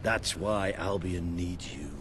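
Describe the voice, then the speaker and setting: An older British actor's role in a game: a man playing a gruff, hearty old soldier mentor, blunt and wry. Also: low voice, slow pace, over music. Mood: grim. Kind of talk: video game cutscene acting.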